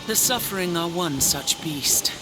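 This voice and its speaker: Solemn angel warrior, higher-pitched, over loud music. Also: male voice, expressive, unhurried, poor audio. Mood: grave. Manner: solemn, formal, portentous. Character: weary, solemn male angel warrior of fallen order. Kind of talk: video game cutscene acting.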